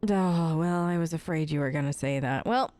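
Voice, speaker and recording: A woman's voice: low voice, moderate pace, darkish tone. A woman recording a role-play let's play of a video game, speaking into a close microphone.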